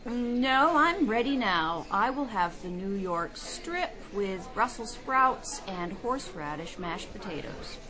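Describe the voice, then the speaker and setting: A woman's voice: mid-range voice, animated, slow, hardly any pauses, poor audio. A woman in a radio mystery playing a poised, skeptical confidante of a sleuth. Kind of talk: radio mystery drama acting. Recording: chattering crowd behind.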